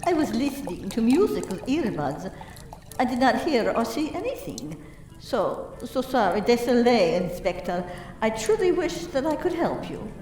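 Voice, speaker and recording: In a roomy recording, away from the microphone, an actress plays a sweetly helpless lady witness in a radio mystery. Her fairly high, lively voice is full of apology. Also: normal speed. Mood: apologetic. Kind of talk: radio play acting. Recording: echoey room from far off.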